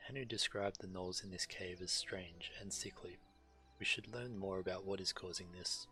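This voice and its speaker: Low, bright-voiced male game streamer. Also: fairly even intonation, normal speed, faint music behind. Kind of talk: reading quest text aloud, casual gameplay commentary.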